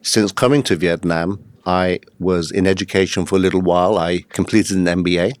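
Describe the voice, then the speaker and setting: A man, speaking in a deep, low register. He tells his story steadily and plainly, with occasional hesitations. The close recording is dry and free of room echo. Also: a little fast. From England. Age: 50s. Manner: steady, matter-of-fact storytelling with occasional uhs. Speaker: guest, executive coach. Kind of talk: podcast interview.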